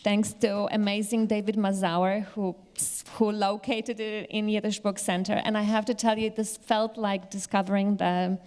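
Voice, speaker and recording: A woman's voice: mid-range voice, flat delivery, moderate pace, hardly any pauses, darkish tone, smooth. Woman panelist explaining in live Q&A. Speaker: panel speaker, Yiddish literature teacher. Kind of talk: live question-and-answer talk.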